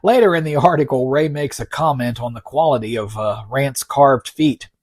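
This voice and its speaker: A man hosting a podcast, narrating in a folksy, wry and measured way, reading out quotes with deliberate emphasis. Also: medium pitch, a little mellow, dry recording, clean studio sound. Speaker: podcast host. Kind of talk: narrated podcast storytelling with read-out article quotes.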